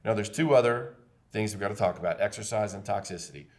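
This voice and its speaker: A man's voice: fairly low pitch, quick pace, darkish tone. A man, hosting a casual health podcast in an emphatic, preachy way and often repeating himself. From Texas. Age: 50s. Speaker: podcast host, chiropractor.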